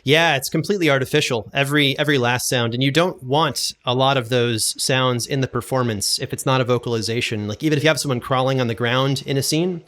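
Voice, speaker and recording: A man's voice: medium pitch, quick pace, hardly any pauses, a bit bright. A man speaking as an interview guest, explaining technical things in a casual, conversational way. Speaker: podcast guest, audio drama producer and sound designer.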